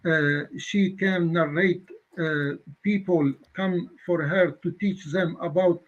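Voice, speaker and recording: Halting man in his fifties, many pauses. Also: a little high, steady tone, muffled recording. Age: 50s. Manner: halting, many filler pauses, non-native phrasing. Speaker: guest debater. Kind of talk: online debate.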